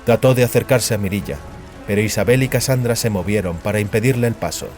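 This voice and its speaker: Low-pitched male narrator, solemn. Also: fast, a little mellow, soft background music. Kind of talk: dramatic scripted fiction narration.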